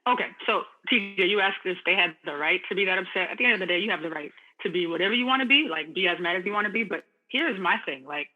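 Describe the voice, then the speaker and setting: A man co-hosting a podcast, talking quickly and playfully in a casual chat. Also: sits high, expressive, narrow, tinny audio. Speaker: podcast co-host.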